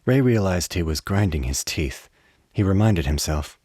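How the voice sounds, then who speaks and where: A man narrating a radio play as a scripted story, with a measured, literary delivery. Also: low-pitched, lively intonation, darkish tone, slightly rough, no echo, studio quality.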